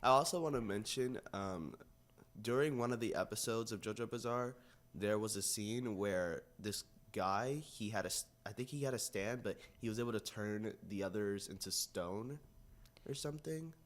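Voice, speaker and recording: A man's voice: lowish voice, normal speed. A young man co-hosting a casual chat in an agreeable, informal, loosely phrased way. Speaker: podcast co-host.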